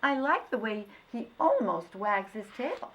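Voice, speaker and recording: A woman's voice: fairly high pitch, very animated, a little slow, fairly bright tone, voice pushed up. A woman voicing an earnest little boy who firmly insists in a storybook.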